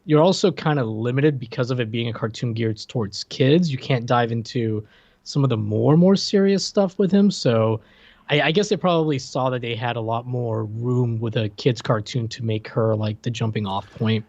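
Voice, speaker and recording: A man's voice: mid-range voice, moderate pace, darkish tone, no echo, highs cut off. A man co-hosting a podcast, laid-back and chatting casually. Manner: laid-back, brief agreeing replies. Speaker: podcast co-host. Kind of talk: casual chat.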